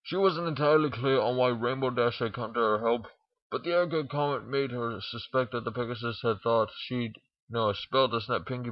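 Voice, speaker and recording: A man's voice: medium pitch, no echo, muffled recording. A man reading a story aloud in an informal amateur narration, stumbling now and then. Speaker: fan fiction reader.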